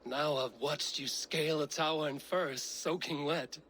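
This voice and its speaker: A male actor playing a bold, steady Norse warrior in a video game, speaking in a measured, plainspoken way. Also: a little high, a little hiss or hum. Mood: admiring. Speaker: game character. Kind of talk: dramatic game cutscene acting.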